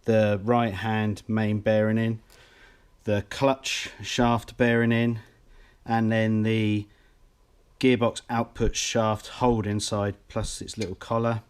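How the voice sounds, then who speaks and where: Man, stuffy nasal voice from a cold, flat steady delivery, roomy workshop echo. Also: on the low side, slow pace. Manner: methodical, explanatory, with fillers. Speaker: motorcycle engine builder. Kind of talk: workshop how-to vlog.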